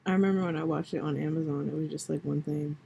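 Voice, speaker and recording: A woman's voice: sits low, fairly even intonation, fast, vocal fry, warm tone, breathy edge. A tired young woman co-hosting a podcast in casual chat, informal, slangy and joking. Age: young adult. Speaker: podcast co-host.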